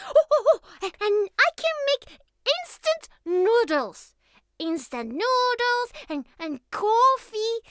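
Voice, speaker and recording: Very high-pitched, childlike, clingy catgirl. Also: very animated, takes it slowly, fairly bright tone, no room sound, full character voice. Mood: eager, proud. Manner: childlike, pouty, stammering, affectionate. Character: young catgirl, former cat, clingy, playful, naive. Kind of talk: ASMR roleplay.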